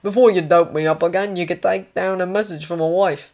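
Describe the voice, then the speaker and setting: A woman's voice: fairly low pitch, steady tone, brisk, a little mellow, natural voice. A woman voicing a weary wounded army captain from Australia, blunt and laconic, with an Australian accent, in a story reading.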